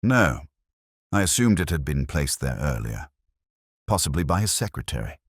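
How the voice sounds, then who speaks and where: A fussy, guarded clerk from a storybook mystery, as a man reads him, soft-spoken and prim, clipping his answers short. His voice is very deep with a husky edge and a lively lilt. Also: normal speed, no echo, studio quality, exaggerated voice. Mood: guarded polite.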